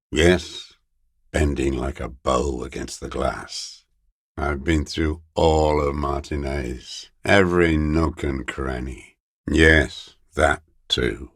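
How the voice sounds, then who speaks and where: An actor playing a bitter, paranoid old communist deserter in a video game, with a weary, gravelly voice and a halting, brooding, contemptuous mutter. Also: male voice, rumbling low, dry recording, studio quality, full character voice. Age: older. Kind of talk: video game character voice acting.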